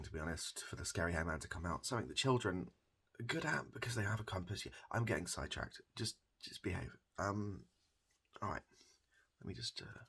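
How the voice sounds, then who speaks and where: Deep, gravelly, soft-spoken deadpan man. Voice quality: gravelly, husky low voice. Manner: rambling, deadpan, halting and repetitive. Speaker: ASMR comedy performer. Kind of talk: improvised softly spoken ASMR comedy roleplay as a hairdresser.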